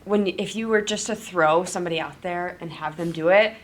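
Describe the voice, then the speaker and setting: Woman, coach, earnest, encouraging, lowish voice, faint noise. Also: a little fast. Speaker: fitness coach. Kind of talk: roundtable chat.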